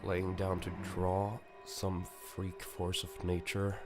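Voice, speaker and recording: Male narrator, low voice, deliberate slow pacing, grave dramatic reading over a clear music bed. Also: completely flat delivery. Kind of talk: dramatic scripted narration of a visual novel.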